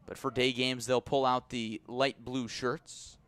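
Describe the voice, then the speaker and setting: A man calling live baseball play-by-play for the radio in a measured, descriptive way. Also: mid-range voice, flat delivery, a bit bright, clean tone, no room sound. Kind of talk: live radio sports commentary.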